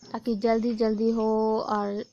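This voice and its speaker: Young woman, hoarse throat, fairly high, very flat tone, faint noise behind. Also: a little slow, lo-fi sound. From Chhattisgarh, India. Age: young adult. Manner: chatty, keeps addressing viewers as friends. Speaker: vlogger. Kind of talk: casual vlog narration. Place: village home during a festival.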